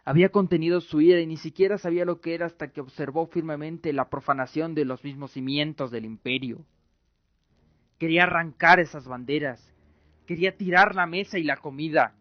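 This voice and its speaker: A man's voice: a little high, a little fast, no room sound. A man giving dramatic scripted narration as the narrator of an audio drama. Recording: dull lo-fi recording with music. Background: music.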